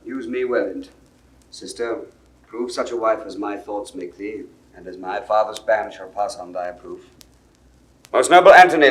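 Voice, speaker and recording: Stern Roman ruler played by a man, low pitch, measured verse. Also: natural voice, poor audio. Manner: formal, grave. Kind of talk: 1960s studio radio play acting.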